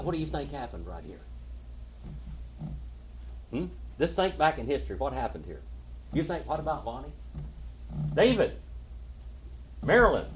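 A man with an Oklahoma twang leads a Bible study with a slightly high voice. He talks in a folksy way, quoting scripture and asking his listeners questions by name. It sounds like a live teaching session in a church hall. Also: background noise. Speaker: pastor.

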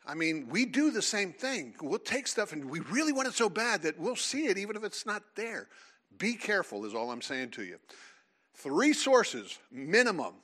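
A man leading a seminar before a live audience, talking in an animated, teasing way and calling on listeners. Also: fairly high pitch. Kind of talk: lively creationist talk with audience.

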